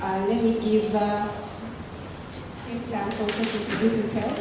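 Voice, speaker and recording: A woman leading a workshop session in a loose, relaxed way, with many fillers and small laughs, recorded from a distant mic in a large meeting room. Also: medium pitch, moderate pace. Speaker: workshop facilitator. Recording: echoey hall recorded from far off with background noise.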